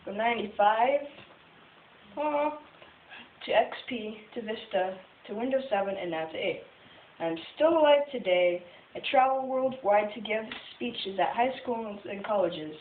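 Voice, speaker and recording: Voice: mid-range voice, lively intonation, a little slow, lightly acted. A performer with a female-sounding voice plays a boastful male tech entrepreneur puppet, presenting a scripted life story to an audience in a self-satisfied, jokey way. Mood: proud. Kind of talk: scripted first-person puppet-show monologue. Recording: tinny phone video, roomy, distant, hissy.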